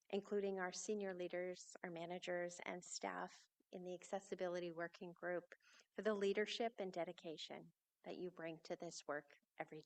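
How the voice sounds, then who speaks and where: A woman delivers a formal public speech from a podium microphone in a large city hall space. Her voice has a gravelly edge, and she talks earnestly, pausing now and then on small fillers. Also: on the low side. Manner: earnest, slightly unscripted with fillers. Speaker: city official giving a speech.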